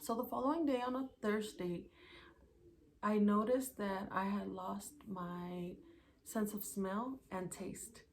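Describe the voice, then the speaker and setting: A woman's voice: mid-range voice, fairly even intonation, takes it slowly, slight background noise. A young woman talking casually to her channel, recounting events day by day from her notes with plenty of fillers. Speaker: YouTuber. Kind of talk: casual vlog storytelling.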